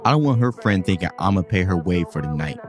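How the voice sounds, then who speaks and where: A man's voice: deep voice, expressive, fast, music in the background. A man playing a cocky but guarded single guy in a casual audio drama, talking in boastful, skeptical slang over a game of pool. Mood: defensive.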